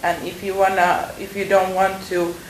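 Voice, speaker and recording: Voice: medium pitch, monotone, moderate pace, a little hiss or hum. Hesitant speaker in an echoey room. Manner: hesitant, thinking aloud with "uh, like". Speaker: interviewee.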